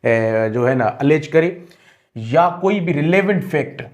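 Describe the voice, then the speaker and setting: A man teaching a law exam-prep lesson, explaining step by step and repeating key terms, slowing into careful English when he reads legal text aloud. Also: fairly high pitch, lively intonation. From Pakistan. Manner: switches into slow, deliberate English when reading legal text. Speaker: law lecturer.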